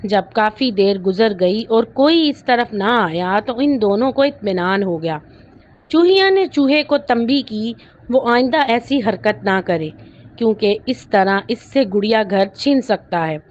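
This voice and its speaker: A woman's voice: medium pitch, expressive, a little slow. A woman narrating a scripted children's story as a storyteller. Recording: dull lo-fi audio with clear noise.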